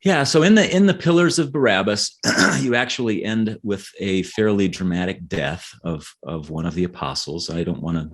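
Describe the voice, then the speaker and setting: A man speaking as an author guest in a conversational interview, explaining in a halting way and often repeating words. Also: deep voice, expressive. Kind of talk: conversational interview, explaining history and his novels.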